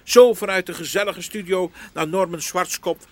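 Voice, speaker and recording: An actor playing an excitable, gushing coffee lover in a radio comedy, waxing lyrical about small things. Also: male voice, high voice, normal speed, lightly acted.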